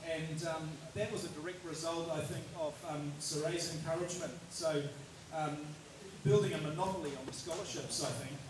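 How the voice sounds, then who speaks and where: Halting man on an echoey panel mic. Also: on the high side, steady tone. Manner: halting, many ums. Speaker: panel speaker. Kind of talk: informal Q&A remarks. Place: large indoor event hall. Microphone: handheld mic, far camera. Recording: echoey hall, distant with crowd.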